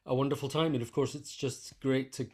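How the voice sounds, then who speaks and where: Man thinking aloud in relaxed conversation. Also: medium pitch, brisk. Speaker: interview guest, composer and conductor. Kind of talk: casual conversational explaining.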